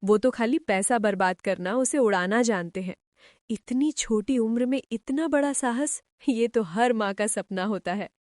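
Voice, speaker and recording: A woman playing an affectionate, supportive middle-aged mother-in-law in an animated story, with an expressive, motherly delivery. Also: on the high side, unhurried, no echo, studio quality, natural voice. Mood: tender. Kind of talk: animated story drama acting.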